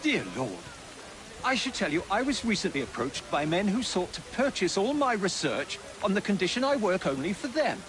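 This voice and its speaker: An actor playing an earnest, courtly elderly Victorian gentleman scientist with an English accent, speaking formally and theatrically in a game cutscene. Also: sits high, sing-song, moderate pace, rough recording. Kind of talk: dramatic game cutscene acting. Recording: game audio under loud music.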